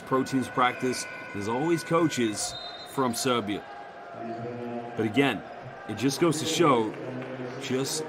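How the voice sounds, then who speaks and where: A man's voice: medium pitch, background noise. London man calling a basketball game. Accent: London English. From England. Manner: chatty, reads out viewer shout-outs between plays. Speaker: play-by-play commentator. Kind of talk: live basketball commentary.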